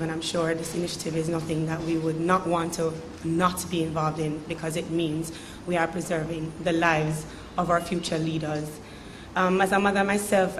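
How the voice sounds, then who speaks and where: A woman in her thirties with a Jamaican accent and a raspy, slightly low voice gives chatty unscripted remarks, full of fillers. She speaks in a hotel function room with faint ambience behind her. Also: a little hiss or hum. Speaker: sponsor representative. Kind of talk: informal remarks at a ceremony.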